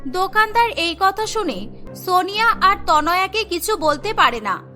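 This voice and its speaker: A woman's voice: extremely high pitch, sing-song, measured pace, over music. A woman narrating a scripted cartoon story.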